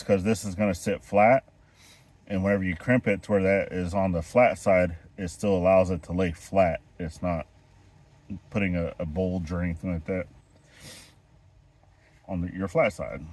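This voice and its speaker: A man's voice: sits low, a little mellow. A man explaining a DIY repair step by step while he works outdoors, congested and sniffling from a chest cold. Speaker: homestead vlogger. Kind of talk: hands-on DIY how-to. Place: outdoors at a well house, kneeling on rocks.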